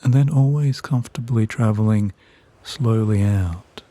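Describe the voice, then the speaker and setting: A man narrating guided sleep hypnosis in a soothing, hushed, measured way with long pauses between phrases. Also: on the low side, no room sound.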